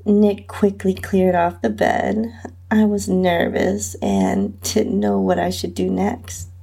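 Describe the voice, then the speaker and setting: A woman with a husky, breathy voice reading a first-person erotic story as its narrator. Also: mid-range voice, lively intonation, takes it slowly, warm tone. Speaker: podcast host and narrator.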